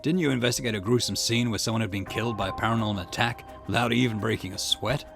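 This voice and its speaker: A gruff middle-aged police detective, speaking low and steady, as a man voices him. His voice is gravelly with a rough, creaky edge. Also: quick pace, music in the background.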